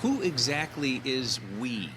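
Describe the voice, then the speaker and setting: A cynical smoker, lively pitch. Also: male voice, slow, background noise. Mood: sardonic. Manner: sardonic, dismissive, casual. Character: cynical, world-weary male smoker, older than the student. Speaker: actor in a radio drama. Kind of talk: audio drama acting.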